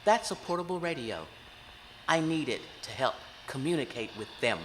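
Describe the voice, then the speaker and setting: A woman playing a world-weary, brooding psychic who hears the dead in an audio horror drama, speaking intensely and confidingly with dramatic pauses. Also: extremely low pitch, sing-song, big-room sound, slight background noise, low-quality recording. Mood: firm, guarded.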